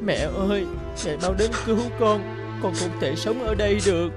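A woman's voice: mid-range voice, moderate pace, over music, close to an everyday voice. A woman voicing a frightened, defiant young goddess held captive in a cartoon fairy tale. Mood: pleading.

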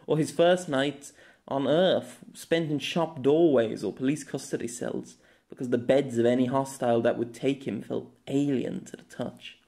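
A man narrating his own fantasy novella as an amateur audiobook, telling it in an expressive storytelling manner. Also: mid-range voice.

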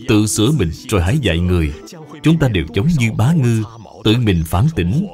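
A man's voice: lowish voice, darkish tone. A man reading scripted moral narration for a cartoon.

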